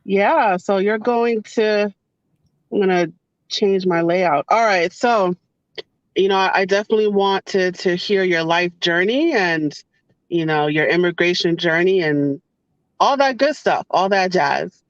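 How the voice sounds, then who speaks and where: Woman podcast host, slow talker, chatty, dry muffled car sound. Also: on the low side, no room sound. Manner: chatty, repeats phrases, upbeat. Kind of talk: casual podcast intro and interview. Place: in her car.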